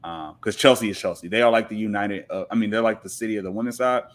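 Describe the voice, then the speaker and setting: A man's voice: on the low side, fast. A man talking casually and with strong opinions as a podcast guest, making emphatic points with rhetorical questions and repeats. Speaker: podcast guest, football pundit. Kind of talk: opinionated casual sports talk.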